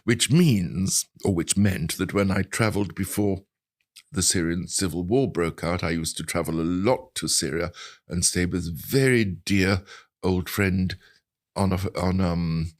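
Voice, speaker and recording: An older man of about seventy with an English accent, telling anecdotes in a reflective, articulate and measured way as an interview guest. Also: low-pitched. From England. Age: older, around 70. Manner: articulate, measured storytelling with precise wording. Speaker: interview guest, translator and writer.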